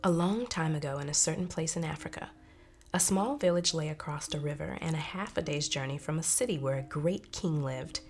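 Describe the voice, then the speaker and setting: A woman narrating a children's storybook in an expressive, careful way. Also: sits low, a little fast, soft background music.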